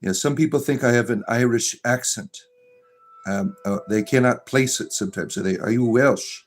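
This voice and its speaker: A middle-aged man from Florida chats with his viewers, greeting them one by one. His pitch sits in the middle of the range, and his talk wanders, slows and restarts. Faint music plays behind him. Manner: rambling, full of fillers and restarts, drawn-out narrator delivery. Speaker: livestream host. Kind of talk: casual livestream chat reading viewer comments.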